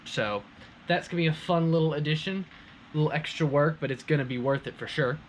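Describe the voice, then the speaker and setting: A man's voice: a little high, brisk, slight background noise, rough recording. A man hosting a hobby prop-making video, explaining his build in a casual, chatty, enthusiastic way.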